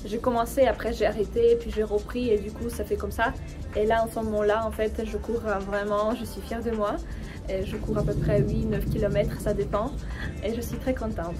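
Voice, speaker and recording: A woman's voice: medium pitch, flat delivery, normal speed. Woman chatting outdoors over music. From Ukraine. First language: Russian. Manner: hesitant, many fillers and restarts, friendly. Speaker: French teacher and video creator. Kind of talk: casual personal chat to camera. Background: background music.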